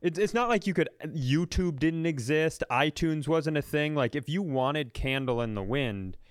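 A man's voice: on the high side, animated, moderate pace, clean tone, no room sound, clean studio sound. An American man in his thirties co-hosting a comedy podcast, telling stories emphatically and with casual profanity.

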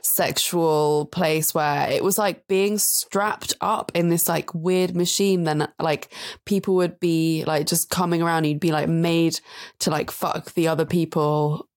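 A woman's voice: deep voice, steady tone, measured pace. A woman co-hosting a podcast, chatting eagerly and asking her guest questions. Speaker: podcast co-host. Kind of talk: casual podcast chat.

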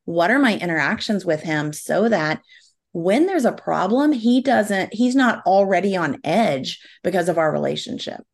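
A woman's voice: on the low side, lively intonation, normal speed, gravelly. A middle-aged woman, a guest on a parenting podcast, talking earnestly in long explanatory runs with occasional fillers. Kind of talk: conversational podcast interview.

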